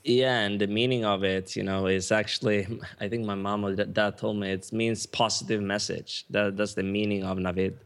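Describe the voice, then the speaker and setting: A man's voice: on the low side, flat delivery, normal speed, few pauses. A man from Sweden, a guest in an interview, talking eagerly and filling his speech with lots of "you know". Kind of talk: casual interview chat.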